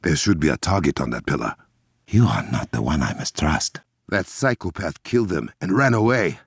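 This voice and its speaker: An actor playing a proud, resolute warrior king in a video game, with an African accent and formal, measured, regal diction. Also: a bass voice, sing-song, creaky, slightly rough, no echo, clean studio sound, full character voice. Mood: grave, composed. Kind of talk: dramatic video game voice acting.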